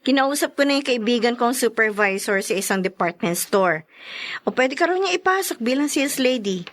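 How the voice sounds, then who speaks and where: Woman acting bossy protective wife and elder sister, high voice, very animated, radio drama. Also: normal speed, natural voice. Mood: bossy, concerned.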